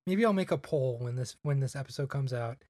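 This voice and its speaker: A man's voice: mid-range voice, lively intonation, fairly quick, mellow, dry recording, studio quality. A young man chatting casually on a podcast, rambling with lots of "like" fillers. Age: young adult. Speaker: podcast guest. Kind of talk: casual chat.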